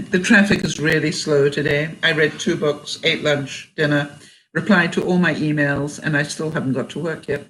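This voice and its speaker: An older woman with a Canadian accent and a hoarse, fading voice reads jokes aloud in a casual vlog and laughs often at them. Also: deep voice, steady tone, normal speed, slight background noise, rough recording. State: hoarse, losing her voice. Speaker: vlogger.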